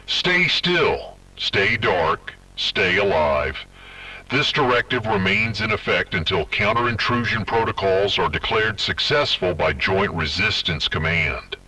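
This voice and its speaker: A stern man reads an emergency directive in a bright, husky voice that swings widely in pitch. He sounds commanding and official. His voice is heard from some distance from the mic. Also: very animated, a little slow. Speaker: emergency broadcast announcer. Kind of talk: scripted emergency directive reading.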